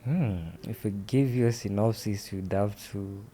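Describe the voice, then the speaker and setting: Man, twenties, guest; very deep, slow, hesitant delivery. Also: dark tone, a little hiss or hum. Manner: slow, hesitant, with many fillers. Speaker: podcast guest. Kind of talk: casual interview chat.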